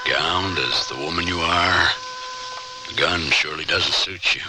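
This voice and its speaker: An actor playing a notorious mountain outlaw with a courtly, teasing manner in an old-time radio drama. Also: male voice, low-pitched, measured pace, rough recording. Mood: gallant, tender. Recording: old radio broadcast with loud music.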